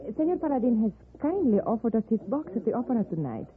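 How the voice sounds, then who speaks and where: Refined, expressive lady, tinny radio. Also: fairly high pitch, quick pace. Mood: gracious. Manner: courteous, formal. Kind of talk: 1950s radio drama acting. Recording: tinny old radio recording.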